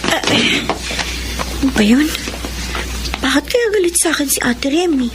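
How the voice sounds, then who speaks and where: A very high, youthful voice over clear background noise. She is a gentle, selfless niece with a Filipino accent, speaking politely and pleadingly. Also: expressive, moderate pace. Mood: puzzled. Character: gentle, selfless young niece, wrongly accused. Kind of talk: Filipino radio drama acting. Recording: noisy radio drama recording.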